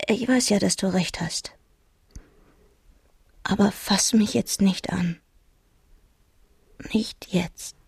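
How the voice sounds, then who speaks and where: Radio crime drama: a hurt, loyal secretary, extremely husky and breathy, slow delivery. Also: female voice, medium pitch, a little mellow, no echo, full character voice. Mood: shaken, hurt. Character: loyal, wounded female secretary of a detective.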